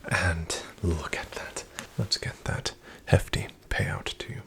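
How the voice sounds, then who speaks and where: A man in a soft-spoken, whispered ASMR roleplay as a casino dealer, speaking in a polite, formal, deferential way. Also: low-pitched, fairly even intonation, slow pace, gravelly.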